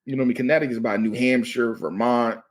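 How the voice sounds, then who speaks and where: A man's voice: mid-range voice, steady tone, quick pace. A man in his thirties from Maryland, a comedian guest talking casually and loosely, often saying "you know what I mean.". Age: 30s. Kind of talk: casual podcast chat.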